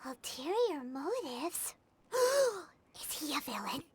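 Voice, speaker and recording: Tiny fairy sidekick, extremely high squeaky child voice, animated swings, chirpy, impulsive, game dialogue. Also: female voice, slow pace, noisy background, full character voice. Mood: puzzled, suspicious. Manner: refers to self in third person, excitable. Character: small childlike flying fairy companion, chirpy, impulsive, girlish. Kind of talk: video game voice acting. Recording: background music behind voice.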